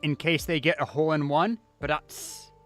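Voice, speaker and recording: A man's voice: a little high, fairly even intonation, normal speed, a bit bright. A man livestreaming a video game with casual, wry, grumbling commentary. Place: inside a cold house. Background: game music.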